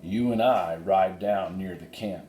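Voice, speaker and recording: A tough cowboy boss played by a man reading a storybook gives calm, clipped orders in a hushed, low voice. The tone is dark and mellow, with a roomy echo around it. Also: unhurried. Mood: hushed, commanding. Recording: roomy echo, faint background noise.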